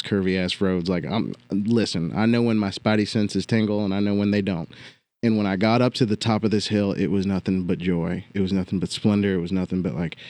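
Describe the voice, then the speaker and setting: A man from West Virginia, a guest musician telling a story on a podcast in an earnest, animated way with casual swearing. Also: lowish voice, no room sound. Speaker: guest musician and past co-host. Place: recording studio on a hill.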